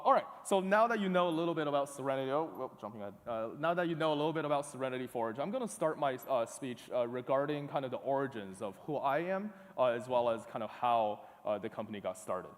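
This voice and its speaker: A young man from northeast China, a conference speaker giving a talk with slides, halting and full of uh fillers and restarts. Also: mid-range voice. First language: Chinese. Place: large auditorium. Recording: long hall reverb.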